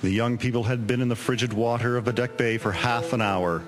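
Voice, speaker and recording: A man narrating a historical tale in a measured, solemn, scripted storytelling manner. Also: mid-range voice, fairly even intonation. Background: music with guitar.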